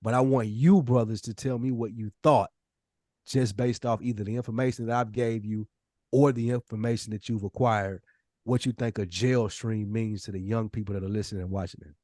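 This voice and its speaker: A man's voice: lowish voice, fairly even intonation, a little fast, darkish tone, dry recording, studio quality. A forty-year-old American man hosting a casual podcast, talking in a slangy, conversational way. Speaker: podcast host.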